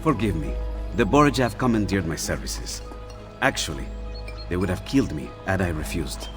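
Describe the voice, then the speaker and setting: Low voiced italian inventor from a renaissance video game, friendly but worried, confiding, loud-ish music behind. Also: male voice, lively intonation, normal speed. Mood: relieved, apologetic. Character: Leonardo, anxious friendly male Renaissance inventor. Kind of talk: video game cutscene acting.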